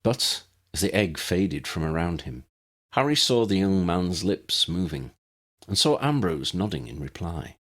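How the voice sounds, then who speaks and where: A man with a husky voice reading fantasy fiction as a scripted audiobook narration. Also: deep voice, big pitch swings, unhurried, a bit bright.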